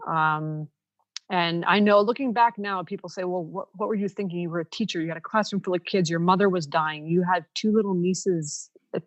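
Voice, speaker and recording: Candid woman, low voice, dull lo-fi sound. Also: a little fast, dry recording. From Canada. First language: Ukrainian. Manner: frank and earnest, acts out quoted people. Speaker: podcast guest. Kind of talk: candid personal storytelling.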